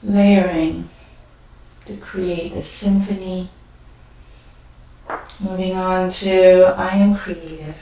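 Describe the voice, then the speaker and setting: A woman leading a guided meditation, speaking gently and unhurriedly in short phrases with pauses between them. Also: on the low side, steady tone, a little hiss or hum. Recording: very echoey room, distant mic.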